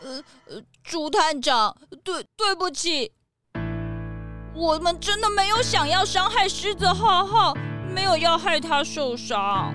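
Timid kangaroo boy, extremely high pitch, halting slow delivery, pleading, stuttering, children's audio drama. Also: animated, music in the background. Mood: guilty, sheepish. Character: timid male kangaroo, nervous, guilty accomplice.

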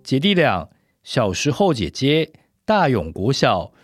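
A male announcer reads a list very slowly, with animated intonation. He has a Taiwanese Mandarin accent, and the recording is dry and close. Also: mid-range voice, dry recording, faint music behind. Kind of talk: scripted cast-list reading.

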